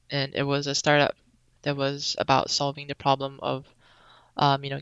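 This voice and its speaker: A young woman from Singapore chats as a podcast guest, relaxed and rambling with plenty of ums. Her voice is bright and crisp with a gravelly edge. The recording is dry and a little dull, like a lo-fi remote call. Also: rumbling low, no echo. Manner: relaxed, chatty, many ums. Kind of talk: casual interview chat.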